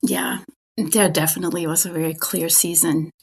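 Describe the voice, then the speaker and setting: A middle-aged woman with a German accent, a spiritual director gently and earnestly telling her own story as a podcast guest. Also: deep voice, steady tone, moderate pace, breathy edge. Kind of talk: reflective personal storytelling.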